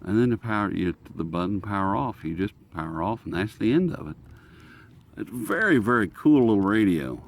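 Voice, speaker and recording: A man's voice: fairly low pitch, animated, fairly quick, vocal fry. A man hosting a hobby channel, giving a casual, unscripted demonstration in a folksy, rambling way as he muses aloud. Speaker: radio hobbyist channel host. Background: shortwave radio being tuned.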